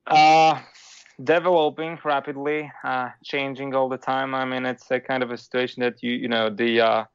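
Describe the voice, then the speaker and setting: A man from Poland with a Polish accent, a philosopher guest talking casually, with a halting manner full of restarts and fillers. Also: mid-range voice, flat delivery, clear, resonant tone, dry recording, lo-fi sound. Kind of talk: casual interview talk.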